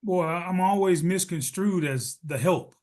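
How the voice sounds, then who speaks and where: A middle-aged man speaks as a panelist, his voice sitting a little high for a man. He talks in an animated way, repeats words and restarts sentences, and cracks small jokes at his own expense. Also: unhurried. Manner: animated, many restarts, self-deprecating humor. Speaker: panelist, retired captain and nurse leader. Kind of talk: conversational panel answer.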